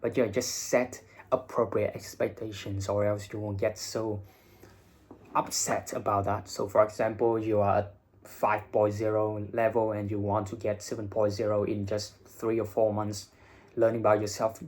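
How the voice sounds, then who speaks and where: A man's voice: fairly low pitch, little pitch movement, moderate pace, a little mellow. A young man who talks bluntly and swears casually while giving exam advice in a vlog. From Vietnam. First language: Vietnamese. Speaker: IELTS teacher and vlogger.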